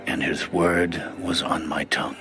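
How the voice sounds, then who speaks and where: A man with a very deep voice narrates scripture solemnly. His delivery is slow and measured, with faint music underneath. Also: little pitch movement.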